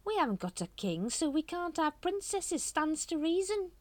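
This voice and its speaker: A woman's voice: extremely high pitch, sing-song, normal speed, no room sound, pitched above the performer's own voice. A woman doing a dour, grumbling cult brother. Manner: dour, blunt, colloquial. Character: grumbling, skeptical working-class male cult member.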